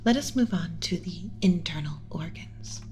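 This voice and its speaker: A woman voicing a gentle, motherly alien scientist in an ASMR roleplay, speaking in a soothing, measured, instructional, often whispery way. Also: on the low side, animated, lightly acted. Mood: calm, instructive. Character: gentle motherly alien scientist teaching a class about pet human.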